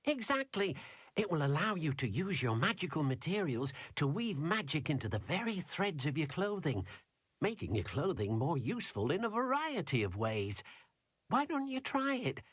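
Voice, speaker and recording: A man's voice: on the high side, sing-song, normal speed, husky, dry recording, clean studio sound. An actor playing a kindly, eager male house-elf helper in a video game, explaining things patiently and referring to himself in the third person. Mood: encouraging, pleased. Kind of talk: scripted video game character dialogue.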